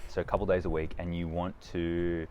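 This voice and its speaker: A deep-voiced man in his forties hosts a relaxed interview, summing up what his guest says before asking the next question. He sits on an outdoor veranda with a lapel mic, and faint outdoor noise hangs behind him. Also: brisk. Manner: friendly, summarizing questions. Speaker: clinic owner and host. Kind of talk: casual interview.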